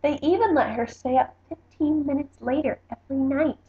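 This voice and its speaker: A woman's voice: high-pitched, normal speed. A woman book vlogger reading a picture book aloud in a casual, chatty style. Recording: slightly dull, roomy home recording.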